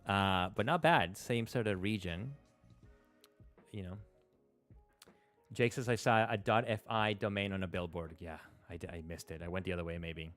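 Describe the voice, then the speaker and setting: A man's voice: low-pitched, moderate pace, pauses often, fairly bright tone, faint music behind. A man in his thirties, a native Spanish speaker, hosting a live stream and commenting casually as he plays, thinking aloud with many fillers and restarts. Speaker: livestream host. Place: small room at a desk. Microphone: close studio mic on a stand.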